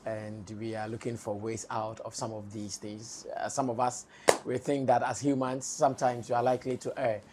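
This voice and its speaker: A man with a Ghanaian accent hosting a studio talk show, hoarse-voiced, steering the discussion and laughing easily. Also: medium pitch, little pitch movement, normal speed, background noise. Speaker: show host.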